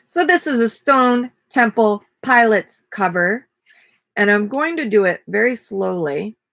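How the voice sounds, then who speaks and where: A woman in her forties with a raspy voice, chatting casually and rambling on a live stream from a small camper. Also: medium pitch, unhurried, dry recording. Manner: relaxed, rambling, self-deprecating. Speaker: vlogger and ukulele player. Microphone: webcam at desk.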